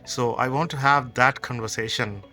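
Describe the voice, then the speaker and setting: A man's voice: medium pitch, fairly even intonation, normal speed, sharp tone, soft background music. A man, answering interview questions as a company co-founder in a thoughtful, conversational way. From Sri Lanka. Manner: thoughtful, conversational, explains with examples.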